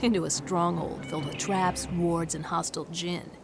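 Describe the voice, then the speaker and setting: Tough wry adventuress, lowish creaky voice, blunt, cynical, game cutscene, music behind. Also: female voice, level tone, moderate pace, noisy background. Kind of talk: video game cutscene acting.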